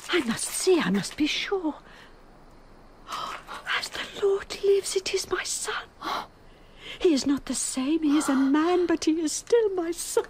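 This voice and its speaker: Anguished high-voiced outcast mother. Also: animated, a little slow, a little mellow, slight background noise. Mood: hushed, urgent. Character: anguished, outcast mother, loving yet resolute. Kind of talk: emotional radio drama acting.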